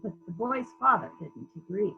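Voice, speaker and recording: A woman playing a brisk, suspicious police investigator questioning witnesses in a dramatic murder mystery reading. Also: medium pitch, very animated, gravelly, dull audio, slight background noise. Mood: sceptical questioning. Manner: probing, businesslike, sceptical. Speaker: library staff member reading a part.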